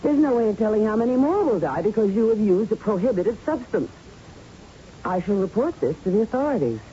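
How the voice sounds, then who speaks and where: A woman's voice: medium pitch, big pitch swings, brisk, dark tone, natural voice. An actress playing an earnest, principled young female plant doctor in a radio drama, speaking in a firm, formal, precise manner. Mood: indignant, resolute. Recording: muffled, faintly noisy old radio recording.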